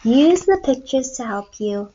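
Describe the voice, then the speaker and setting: A young woman teacher reading aloud to kindergartners in an expressive storytelling way, recorded indoors on a computer mic. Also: sits high, measured pace, dull audio, a little hiss or hum. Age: young adult. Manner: expressive, clearly paced storytelling for children. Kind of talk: read-aloud lesson for young children. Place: small room at home, indoors.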